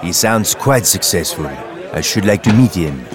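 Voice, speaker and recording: A man's voice: low-pitched, lively intonation, faint music behind, pitched below the performer's own voice. A man voicing a proud colonel and marquis, speaking English. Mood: courtly. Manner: slow, proud, precise. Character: haughty French colonel, a marquis, middle-aged.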